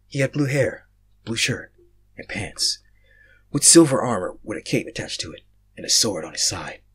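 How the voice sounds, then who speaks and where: A young man reading fan fiction aloud, casual in his chat and theatrical in his narration, swinging between hushed and loud. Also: on the low side, very animated, moderate pace, slightly rough. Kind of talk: casual intro and dramatic story narration.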